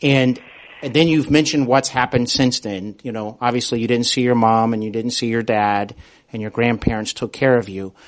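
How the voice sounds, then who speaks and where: Courteous male interviewer, halting delivery. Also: medium pitch, steady tone, poor audio. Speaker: podcast host, interviewer. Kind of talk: conversational interviewing.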